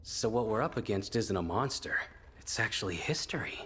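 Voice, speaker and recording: A man's voice: lowish voice, animated, moderate pace, lightly acted. A man playing an earnest, somewhat hesitant young expedition member in a video game. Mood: awed. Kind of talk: video game cutscene acting. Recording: noisy ambient background.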